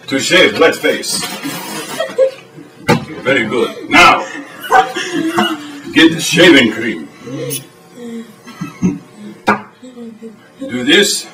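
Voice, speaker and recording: A man playing deadpan broken-English how-to host. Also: on the high side. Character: blunt deadpan foreign man giving brutal how-to lessons. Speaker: comedy sketch character host. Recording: roomy echo, distant mic, ambient noise.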